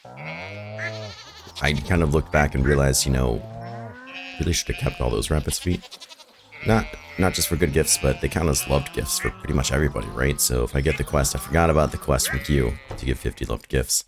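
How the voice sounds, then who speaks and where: A man with an extremely low, hoarse and raspy voice talks loosely through a gameplay session, thinking aloud as he goes. His voice sounds worn from shouting the night before. Game music plays clearly under his talk. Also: animated, normal speed. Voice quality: raspy, rough voice. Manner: rambling, thinking aloud while playing. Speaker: gaming video host. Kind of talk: casual gameplay commentary.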